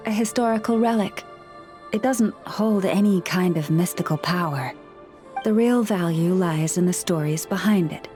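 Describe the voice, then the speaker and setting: A woman voicing a gentle, courteous librarian and keeper of relics in a video game, speaking calmly with soft formality, over game music. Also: on the low side, moderate pace, close to an everyday voice. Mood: gracious. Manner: calm, polite, softly formal. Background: game background music.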